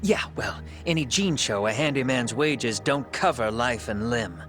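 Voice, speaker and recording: A man's voice: mid-range voice, lively intonation, unhurried, a bit bright, faint music behind. An actor playing a weary, reluctant handyman in a video game, slangy and resigned. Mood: resigned, apologetic. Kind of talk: English video game voice acting.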